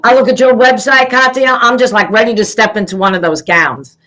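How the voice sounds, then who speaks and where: A woman's voice: a little high, fairly quick, bright, forceful tone. A middle-aged woman who runs a pageant, hosting an interview in a chatty way and praising her guest effusively. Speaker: pageant CEO, interview host. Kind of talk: casual interview talk.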